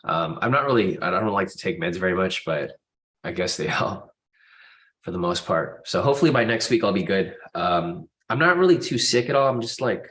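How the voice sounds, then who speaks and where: A man's voice: low voice, lively intonation, normal speed, fairly bright tone. A man with a stuffy nose from a cold, hosting a live trading stream in a casual, informal way and addressing viewers directly. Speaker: host of a live trading room.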